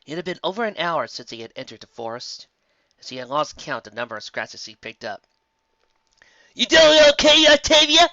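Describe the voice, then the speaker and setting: A reader narrating fan fiction aloud in an expressive storytelling manner. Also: medium pitch, fairly quick, no echo, muffled recording.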